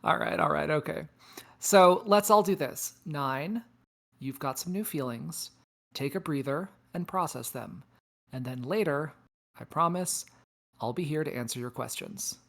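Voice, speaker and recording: Woman doing a space probe in an audio drama, deep for a woman, patient big sister type, fed up. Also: a little slow, vocal fry, exaggerated voice. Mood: reassuring. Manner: calming, chiding. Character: patient, exasperated space probe, the sensible elder. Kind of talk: table read of a script, audio drama acting.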